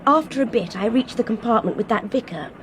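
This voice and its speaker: A woman's voice: a little high, expressive, fairly quick, natural voice. An actress playing a desperate, earnest young woman with an English accent in a radio drama. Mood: weary recounting. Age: young adult. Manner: earnest, emphatic. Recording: clear train noise behind.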